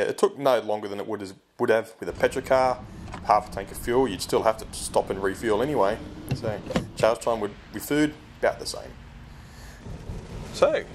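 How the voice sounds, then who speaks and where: A middle-aged Australian man narrating a casual road trip vlog from inside his car, chatty and loose, with asides and fillers. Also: on the low side. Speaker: EV vlogger. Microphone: dash-mounted camera. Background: background music.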